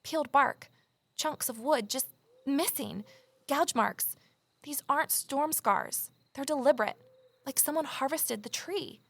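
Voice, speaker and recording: A woman's voice: high voice, measured pace, gravelly, fairly bright tone, no echo, exaggerated voice. A woman playing a wry, uneasy young journalist who narrates a mystery audio drama in a confiding way. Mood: tense, alarmed. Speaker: lead character and narrator.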